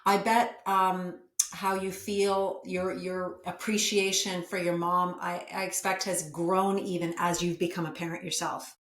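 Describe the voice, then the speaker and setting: A woman's voice: lowish voice, little pitch movement, a little slow, few pauses, fairly bright tone. Woman hosting an interview, echoey room. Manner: encouraging, many backchannel murmurs. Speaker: podcast host. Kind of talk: interview host.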